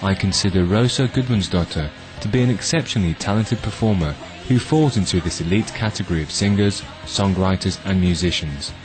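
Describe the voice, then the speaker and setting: Male narrator, low register, scripted documentary profile read with music underneath. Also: a little fast, few pauses. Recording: music behind the voice.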